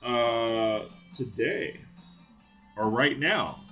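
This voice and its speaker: A man hosting a casual live stream, thinking aloud with lots of fillers and greeting viewers, his voice slightly raspy. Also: medium pitch, animated, extremely slow, phone-quality sound, soft background music. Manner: friendly greetings to chat viewers. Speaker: livestream host. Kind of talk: casual live-stream chat while building.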